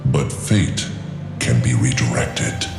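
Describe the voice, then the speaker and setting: Immensely deep ancient dragon, gravelly. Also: male voice, full character voice. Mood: solemn, weary. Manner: archaic, grave, deliberate speech. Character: ancient weary prophetic dragon, male, fatalistic and solemn. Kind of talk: fantasy video game voice acting. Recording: cavernous echo with music underneath.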